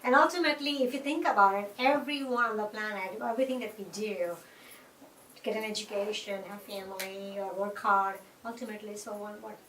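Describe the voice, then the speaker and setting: A woman's voice: medium pitch, fairly quick, fairly bright tone, a little hiss or hum. A middle-aged woman from India with an Indian accent, speaking in an interview in a reflective, earnest way, with many fillers and restarts. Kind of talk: conversational interview. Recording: echoey room, mic at a distance.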